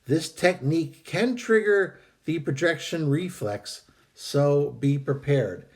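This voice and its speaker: A man hosting a video, calmly reading out and explaining a meditation technique with some hesitant fillers, while fighting a cold that is costing him his voice. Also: on the high side. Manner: calm, measured, with hesitant fillers. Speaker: host.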